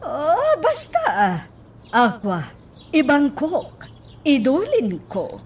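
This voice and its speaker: A Filipino woman playing an excitable, nervous, wheedling wife in a radio drama, with theatrical exclamations. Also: high voice, slow, far from the mic, full character voice. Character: excitable, nervous, pleading wealthy wife learning to drive.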